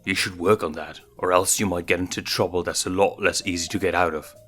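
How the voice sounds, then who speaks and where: A man's voice: sits low, steady tone, brisk, fairly bright tone, soft background music. A man voicing a sly young male lion cub who is easily irritated and teases dryly, in a story reading.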